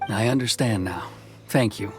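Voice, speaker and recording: A man's voice: lowish voice, moderate pace, close to an everyday voice. A man playing a stern, dutiful officer in a video game, speaking formally and with authority over game music. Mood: reflective, restrained. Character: stern, dutiful male military officer, privately conflicted.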